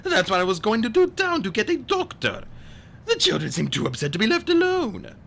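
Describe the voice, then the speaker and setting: A man's voice: wildly swooping pitch, fairly quick, gravelly, a bit bright, heavily acted. A man voicing a scheming villain who pretends to be a distressed, fluttery assistant in an audiobook story. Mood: feigned sorrow. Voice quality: high, fluttery when pretending. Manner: theatrical, oily, falsely apologetic.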